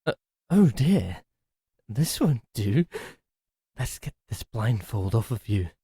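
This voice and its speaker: Guy doing a slow teasing creepy servant voice, mellow tone, big pitch swings. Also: sing-song, breathy edge, no echo, studio quality. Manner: theatrical, playful and sinister, swooping pitch. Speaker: servant called Happiness greeting a captive. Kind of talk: ASMR roleplay acting.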